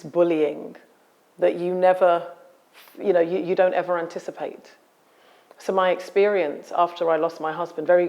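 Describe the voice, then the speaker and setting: A woman author speaking in a measured, articulate and solemn way about personal experience. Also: low-pitched, darkish tone, lo-fi sound. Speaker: author and guest. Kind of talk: reading a memoir aloud, then interview talk.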